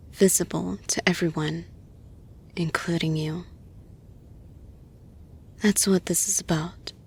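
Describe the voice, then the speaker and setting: A woman playing a caring, composed female boss in an intimate audio roleplay, speaking in a soft, breathy, husky, reassuring voice with slow, deliberate phrasing. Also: lowish voice, a little hiss or hum. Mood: soothing, encouraging.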